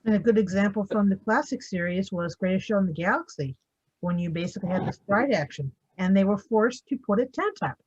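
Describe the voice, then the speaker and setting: A woman talking casually as a panel moderator, in a halting, hesitant way. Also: mid-range voice, lively intonation, darkish tone, husky, muffled recording.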